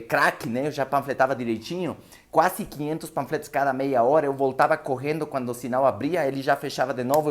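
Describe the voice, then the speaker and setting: A motivational speaker, a man whose first language is Spanish, talks to a live audience. His accent carries Spanish-speaking sounds. He tells his story emphatically and keeps checking that the listeners are following him. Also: medium pitch. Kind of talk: live motivational seminar talk.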